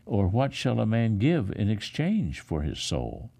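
Deep, gravelly man reading scripture slowly. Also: wildly swooping pitch, mellow. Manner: measured, deliberate phrasing with frequent pauses. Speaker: pastor reading scripture aloud. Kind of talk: solemn, expressive Bible reading.

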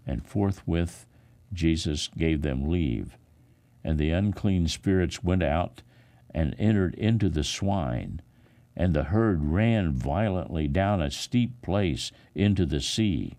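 A man, a pastor, reading the Bible aloud in a solemn, dramatic style with measured, expressive emphasis. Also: low-pitched.

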